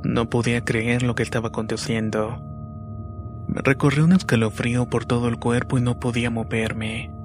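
A man reading a scripted horror story as a narrator, in a measured, deliberate way. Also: medium pitch, level tone. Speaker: narrator of listeners' paranormal stories. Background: background music.

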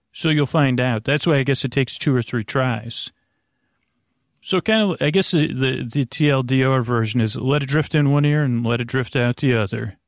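A man hosting a sleep podcast with a rambling, improvised monologue, meandering and halting as he drifts into tangents. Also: mid-range voice, vocal fry, no room sound, clean studio sound. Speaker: podcast host.

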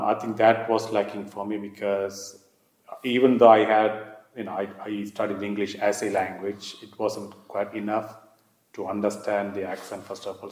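Man, Sri Lankan accent, lowish pitch, reverberant room, oral history interviewee, personal recollection, repeated phrases. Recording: echoey room.

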